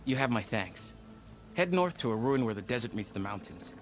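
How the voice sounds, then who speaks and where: An actor playing a serious soldier who speaks in short, clipped sentences. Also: male voice, mid-range voice, animated, normal speed, faint music behind, lightly acted. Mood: grave. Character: grave male soldier contact, worried. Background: game score.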